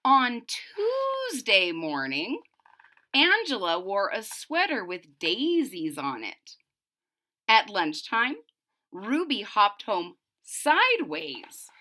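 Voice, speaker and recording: A woman's voice: medium pitch, pushed and bright. A woman reading a children's storybook aloud expressively and explaining it to young listeners in asides. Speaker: storybook reader.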